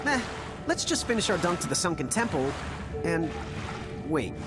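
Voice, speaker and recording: An actor playing a goofy, excitable teenage twin brother in a video game cutscene, slangy and breezy. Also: mid-range voice, moderate pace, lightly acted. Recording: loud music behind the voice.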